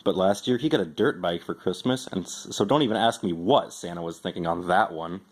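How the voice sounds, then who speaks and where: A man with a fairly low voice giving an expressive amateur storybook reading in the first person. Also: brisk. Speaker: amateur reader.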